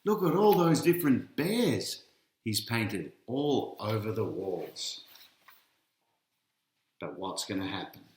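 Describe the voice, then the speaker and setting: A man's voice: on the low side, sing-song, slow, long pauses. A man reading a picture book aloud to children as its author, putting questions to his young listeners and teasing out each reveal. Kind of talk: children's storytelling read-aloud. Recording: roomy, echoing sound.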